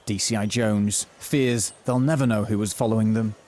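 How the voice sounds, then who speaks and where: A man narrating a mock-thriller audio drama from a script, telling it in a florid, deadpan way. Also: on the low side, normal speed, close to an everyday voice.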